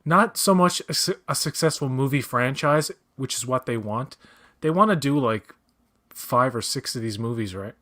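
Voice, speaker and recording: A man's voice: mid-range voice, normal speed, sharp tone. A man chatting casually during a movie watch-along, blunt and opinionated, swearing freely. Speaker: guest co-watcher.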